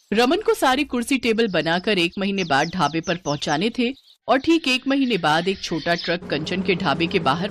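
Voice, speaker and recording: Woman narrator, scripted storytelling, lively swinging intonation, medium pitch. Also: slight background noise.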